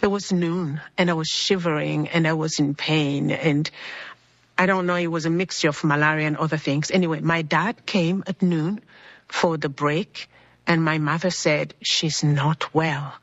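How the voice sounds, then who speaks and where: A woman's voice: low voice, fairly even intonation, measured pace, no room sound, highs cut off. A woman from the Republic of Congo telling her faith testimony earnestly, acting out the words of the people in her story. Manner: earnest storytelling, acts out quoted dialogue.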